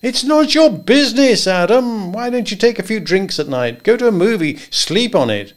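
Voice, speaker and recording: A man's voice: high voice, expressive, moderate pace, voice pushed up. A man voicing a jovial older male draft-board official who talks in a breezy, coaxing way. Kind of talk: audiobook character voice.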